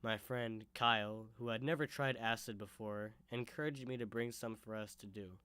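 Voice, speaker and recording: A young man with a lowish, smooth voice tells a story in a casual, conversational way. He is sick, with a sore throat and stuffy sinuses, and the sound is close and dry. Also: fairly even intonation, moderate pace, pushed and bright, dry recording. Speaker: YouTuber. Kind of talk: storytelling vlog.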